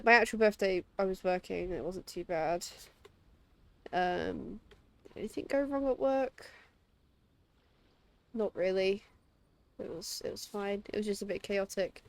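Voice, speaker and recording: A woman's voice: medium pitch, gravelly. A woman in her late twenties chatting casually as a game streamer. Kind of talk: casual livestream chat.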